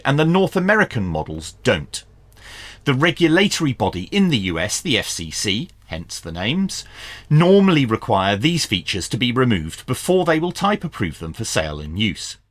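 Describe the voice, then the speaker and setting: A man's voice: mid-range voice, a bit bright. A man presenting an unboxing product review in a plain-spoken, informal, opinionated way. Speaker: reviewer and presenter of radio gear.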